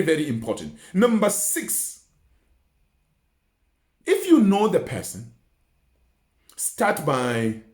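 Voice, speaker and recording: A man coaches listeners through practical tips. His voice sits a little high and moves up and down a great deal as he stresses points and repeats them for emphasis. The sound is roomy, with clear echo. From Botswana. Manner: emphatic, didactic, uses rhetorical questions and repetition. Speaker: research mentor and communication coach. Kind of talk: instructional talk with numbered tips. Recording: roomy, echoing indoor sound.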